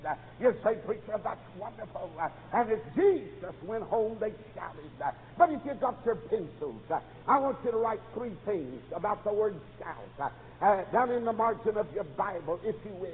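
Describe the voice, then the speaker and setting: A man's voice: high voice, expressive, normal speed. A man preaching a fervent revival sermon, telling stories with acted dialogue and punctuating nearly every phrase with "uh.". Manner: exclamatory, storytelling delivery. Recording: dull lo-fi tape in reverberant hall, faint noise.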